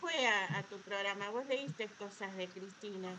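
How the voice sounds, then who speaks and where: A woman's voice: medium pitch, moderate pace, pushed and bright. A woman with a Buenos Aires Argentine accent, casually reminiscing as a co-host at a talk. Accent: Buenos Aires Argentine Spanish. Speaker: workshop teacher, co-host. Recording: noisy room with clear background noise.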